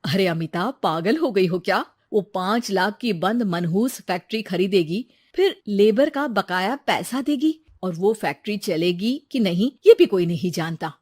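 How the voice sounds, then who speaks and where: A woman's voice: medium pitch, expressive, measured pace, hardly any pauses, a little mellow. A woman playing a practical, blunt middle-aged seamstress who helps out like an aunt. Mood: sceptical.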